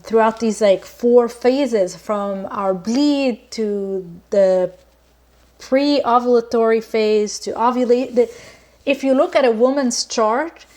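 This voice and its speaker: A woman's voice: a little high, slow, a little mellow. A woman from Lebanon talking as a podcast guest in an earnest, reflective way, with many fillers and restarts. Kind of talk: casual conversation.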